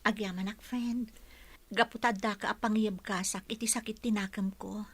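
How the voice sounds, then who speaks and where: A woman's voice: mid-range voice, steady tone, normal speed, breathy edge, no room sound. A woman playing a heartbroken young woman pining for her sweetheart, plaintive, in a radio drama. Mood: grateful, weary. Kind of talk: Ilocano radio drama acting.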